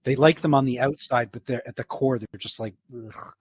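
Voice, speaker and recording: A man's voice: medium pitch, a little fast, slightly rough, no echo. A middle-aged man who works as a voice actor, chatting casually as a guest on a podcast. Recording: slightly dull, lo-fi sound.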